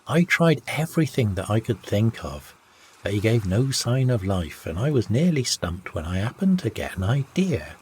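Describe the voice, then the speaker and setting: Male audiobook narrator for old seafaring tales, lowish voice, very varied pitch, old-fashioned raconteur delivery. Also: sing-song, moderate pace, husky. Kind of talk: scripted storytelling, old sea yarn read aloud.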